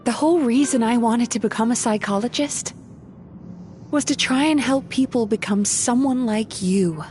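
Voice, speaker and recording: Woman doing a soft earnest young psychologist girl, high light voice, faint music behind. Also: moderate pace, lightly acted. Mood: tender. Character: gentle young woman psychologist who tastes dreams. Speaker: game character. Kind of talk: video game voice acting.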